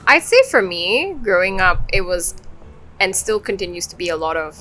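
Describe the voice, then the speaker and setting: A young woman from Singapore co-hosting a podcast, talking in a relaxed, opinionated, casual way. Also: fairly low pitch, normal speed, soft background music, rough recording. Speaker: podcast co-host. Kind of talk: casual chat.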